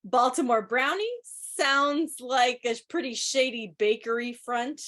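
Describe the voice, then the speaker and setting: A woman's voice: fairly high pitch, unhurried, fairly bright tone. A woman hosting a quiz in a casual, chatty style, with wry joking asides, speaking on an online video meeting. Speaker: quiz host. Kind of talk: casual live trivia commentary.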